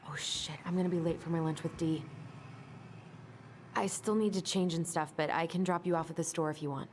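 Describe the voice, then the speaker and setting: An actress playing an anxious, sleep-deprived young American woman in a video game, speaking softly and hesitantly. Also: sits low, fairly even intonation, a little fast, slight background noise, natural voice. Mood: subdued, sincere. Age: young adult. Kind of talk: naturalistic video game acting. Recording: music behind the voice.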